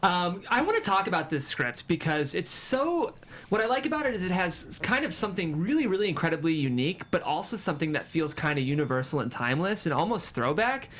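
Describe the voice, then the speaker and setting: A man's voice: high-pitched, big pitch swings, fast, fairly bright tone. An American man hosting a show, chatting in an effusive, enthusiastic interview style. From Cleveland, Ohio, USA. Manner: effusive, complimentary. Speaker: host of a script table-read show.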